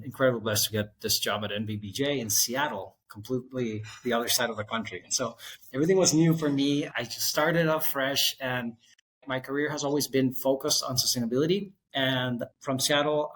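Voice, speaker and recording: A man in his thirties from Costa Rica, an architect guest telling his career story in a relaxed, winding way. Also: medium pitch, moderate pace, rough recording. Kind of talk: conversational interview.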